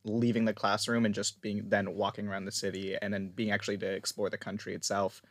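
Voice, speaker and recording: A man's voice: lowish voice, flat delivery, fast talker, fairly bright tone, dry recording. A man from Canada, a graduate student guest, speaking casually in an interview.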